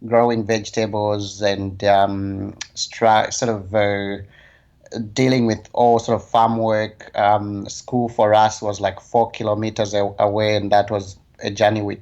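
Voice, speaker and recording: Low-voiced man reflecting in a muffled interview. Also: little pitch movement, a little slow, a little mellow. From Zambia. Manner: thoughtful, hesitant with many fillers. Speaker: guest, health advocate. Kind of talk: relaxed conversational interview.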